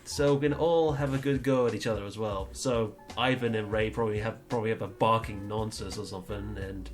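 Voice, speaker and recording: A man's voice: fairly low pitch, steady tone, fairly quick, soft background music. A man hosting a casual livestream podcast, rambling and teasing as he introduces his friends. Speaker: podcast host.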